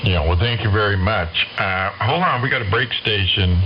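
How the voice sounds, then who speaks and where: A man in a relaxed, chatty exchange as an amateur radio operator, heard over a shortwave link. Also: fairly low pitch, moderate pace. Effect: shortwave radio transmission. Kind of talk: casual rag-chew radio chat. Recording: muffled, hissy amateur radio signal.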